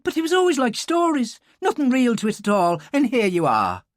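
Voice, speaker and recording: Brief: fierce young Irish country girl, read by a male narrator, high and lively, dry close sound. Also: fairly quick, dry recording, full character voice. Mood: eager. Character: fierce young Irish farm woman, twenty.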